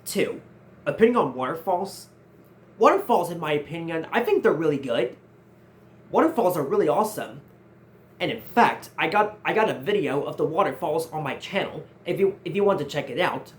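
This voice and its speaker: Young man, 19, high for a male voice, earnest Q&A host who restarts his sentences. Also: expressive, normal speed, a little hiss or hum. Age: 19, nearly 20. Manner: earnest, repeats and restarts phrases often. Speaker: channel host answering viewer questions. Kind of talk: casual Q&A vlog.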